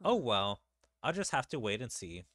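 A man's voice: medium pitch, sing-song, moderate pace, fairly bright tone, no echo, studio quality. A man reading game dialogue as a haughty, scheming, coolly menacing female vampire ruler.

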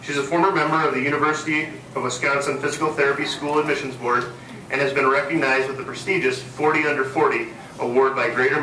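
A man's voice: medium pitch, bright, forceful tone. An American man making a formal scripted introduction as master of ceremonies at a podium. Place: hotel hall, at a podium on stage. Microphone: podium mic, captured by far audience camera. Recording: echoey, distant hall, faint noise.

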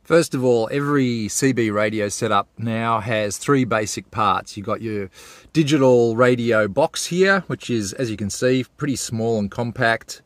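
A man's voice: medium pitch, unhurried. A man, giving a casual, plain-spoken explainer as a vlogger. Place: inside a parked four-wheel drive and outdoors at a bush campsite.

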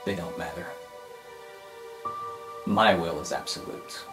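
A man voicing an arrogant, domineering history teacher supervillain in a superhero roleplay, speaking in a haughty, measured, menacing way. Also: low-pitched, over music, voice pushed down. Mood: cold, domineering. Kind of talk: improvised superhero roleplay podcast.

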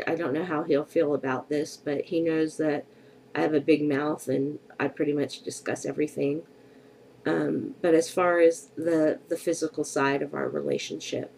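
Middle-aged woman vlogger, stuffy from a cold, unhurried and frank, faint room hiss on a webcam mic. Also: sits low, vocal fry, mellow, low-quality recording. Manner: slow, candid and reflective, with frequent hesitations. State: congested from a cold. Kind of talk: personal vlog to camera. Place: bedroom at home, indoors. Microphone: webcam at desk distance.

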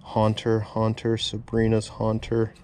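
A man's voice: on the low side, monotone, unhurried, warm tone, slight background noise. A man vlogging as he digs through a storage unit, amazed and repeating exclamations, filmed on a head-mounted camera. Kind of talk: excited unboxing vlog. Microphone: head-mounted action camera.

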